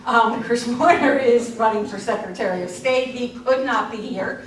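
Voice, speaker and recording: A woman's voice: on the high side, normal speed, slight background noise. A woman emceeing a dinner, making casual, chatty introductions. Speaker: event organizer and emcee. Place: church hall at a dinner event. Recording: echoey hall from far off.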